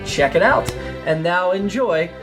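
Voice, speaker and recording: A woman's voice: on the low side, unhurried, over music. A woman reading a scripted podcast introduction as the announcer.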